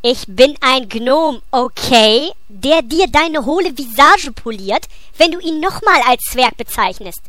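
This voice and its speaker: Tiny irritable gnome, very high, snappish and menacing, for a comedy radio play. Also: female voice, lively intonation, a little slow, hardly any pauses, a bit bright. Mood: irritated threat. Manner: surly, snappish. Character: grumpy, threatening little gnome.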